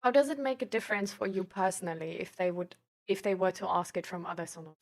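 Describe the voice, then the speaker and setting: A woman's voice: lowish voice, quick pace, a little mellow. A woman chatting casually and asking questions as she listens. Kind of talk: casual chat.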